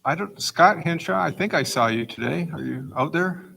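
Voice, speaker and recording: A man's voice: a little high, animated, normal speed, gravelly, a little hiss or hum. A man chairing a club meeting, welcoming guests one by one. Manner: welcomes guests warmly, brief. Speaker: Rotary club president. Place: large meeting hall.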